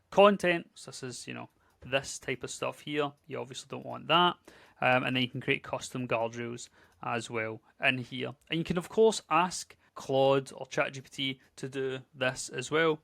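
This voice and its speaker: Scottish guy in his 30s, tutorial host, chatty and upbeat, bit of fry, dry close sound. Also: mid-range voice, fairly bright tone, dry recording. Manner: chatty, upbeat, conversational with filler words. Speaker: tech YouTuber. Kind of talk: casual screen-share tutorial.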